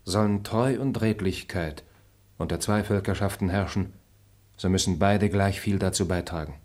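A composed, fair-minded gentleman traveler, played by an actor in a radio play. His voice sits low and his speech is measured and articulate. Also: close to an everyday voice. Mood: reflective. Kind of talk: German radio play acting.

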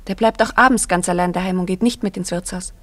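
An actress playing a young, warm-hearted village girl with a secret, earnest and often hushed, in a German radio drama. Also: lowish voice, fast, no room sound. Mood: eager, bright.

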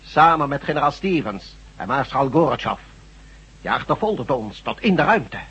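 A man acting in a radio drama as a smug, menacing god posing as a doctor, deliberate and condescending. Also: mid-range voice, very animated, a bit bright, breathy edge, muffled recording, heavily acted. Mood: smug, gloating.

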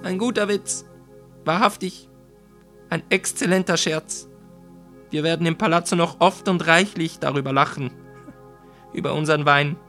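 A trapped nobleman in a gothic storybook tale. His voice is pitched high and loud, strained with false cheer and desperate pleading. Music plays behind him. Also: normal speed, long pauses. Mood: forced hilarity. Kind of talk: dramatic audiobook character voice.

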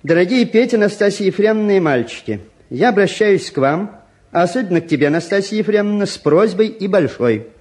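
A man's voice: sits high, lively intonation, a little fast, a little mellow. An actor playing a mild, middle-aged intellectual father, soothing and courteous, in a mid-century Soviet radio-play style. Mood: reading aloud. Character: gentle, mild intellectual father, absorbed in his work.

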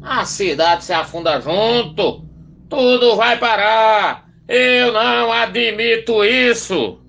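An actor playing a furious, pompous, all-powerful landowning major in a radio play. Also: male voice, high-pitched, slow pace, creaky, pushed and bright, soft background music, full character voice. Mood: indignant, furious. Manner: pompous, domineering.

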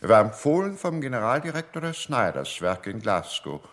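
A man playing a formal, slightly defensive gentlemen's club manager in a radio crime drama, speaking politely. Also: mid-range voice, sing-song, normal speed.

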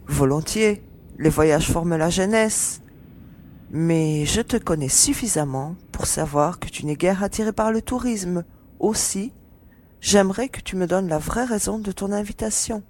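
A woman's voice: lowish voice, a little slow, a little mellow, slight background noise, natural voice. A woman playing a confident, friendly young mercenary agent in a science fiction audio drama. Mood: warmly welcoming. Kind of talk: French audio drama acting.

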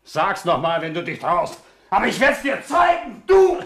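A man's voice: high-pitched, sing-song, normal speed, a bit bright, roomy sound, exaggerated voice. A man acting a hot-tempered, indignant tavern brawler in a 1960s German radio play. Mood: furious, threatening.

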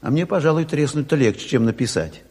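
An actor playing a simple, eager, barely literate village official in a radio drama, folksy and flustered, repeating himself. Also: male voice, mid-range voice, sing-song, quick pace, a little mellow, slightly rough. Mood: flustered, complaining. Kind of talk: Soviet radio drama acting. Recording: hall reverb of 1970s radio play.

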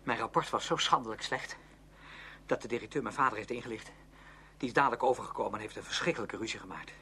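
An actor playing a headstrong, outspoken young music student in a Dutch radio play, speaking emphatically and with defiance. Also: male voice, medium pitch, fast talker, pushed and bright. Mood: agitated, defiant. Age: young adult. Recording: noisy old radio drama recording.

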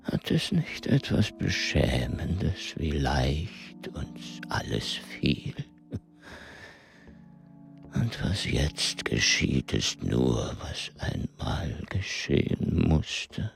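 An actor in a German radio drama playing a frail, weary old explorer-scholar, weak and breathy, speaking in halting phrases with long pauses. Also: male voice, a bass voice, big pitch swings, exaggerated voice. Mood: melancholy, resigned. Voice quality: weak, breathy, half-whispered. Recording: loud music under the voice. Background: music.

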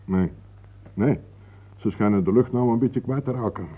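An actor playing an older, guarded, matter-of-fact local man with a husky voice, formally polite, in a Dutch radio play. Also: mid-range voice, moderate pace, phone-quality sound, natural voice.

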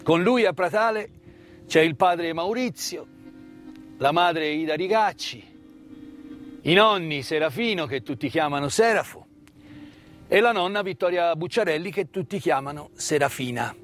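A man delivering scripted theatrical narration of history as a stage storyteller, in a measured way with deliberate pauses. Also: a little high, expressive, a bit bright. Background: live instrumental music.

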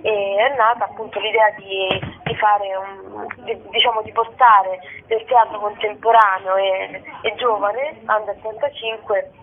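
A woman's voice: mid-range voice, steady tone, measured pace, few pauses. Over a tinny phone line with faint noise, a woman from southern Lazio speaks as an interview guest. She explains things spontaneously and a little hesitantly, often repeating and restarting her words. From Valle di Comino, southern Lazio, Italy. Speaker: festival co-director, interview guest. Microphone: telephone.

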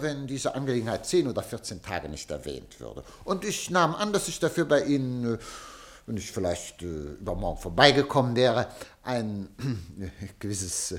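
An actor playing a cynical, wily newspaper boss in a radio play, evasive and oily with theatrical feigned innocence. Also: male voice, mid-range voice, very animated, normal speed, a bit bright. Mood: evasive, wheedling. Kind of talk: German radio play acting.

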